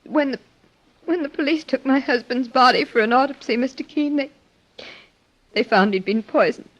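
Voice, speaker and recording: An actress playing an earnest, grieving young wife in old-time radio drama style, speaking haltingly with emotion. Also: female voice, high voice. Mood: troubled, earnest. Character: grieving young wife of a wealthy older man. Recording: dull, lo-fi old radio recording.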